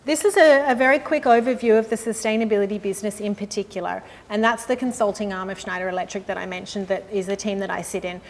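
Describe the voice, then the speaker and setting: A woman, a sustainability consultant giving a live industry presentation in a room with an audience, in a friendly, measured way with frequent "um" and "uh". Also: medium pitch, steady tone. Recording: long hall reverb with faint noise.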